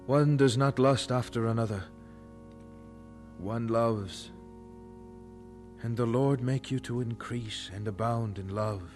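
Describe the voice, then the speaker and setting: A man's voice: mid-range voice, a little mellow, natural voice. An actor playing a devout young male student, thoughtful and intense, with formal, earnest phrasing in an audio drama. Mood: fervent, prayerful. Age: young adult.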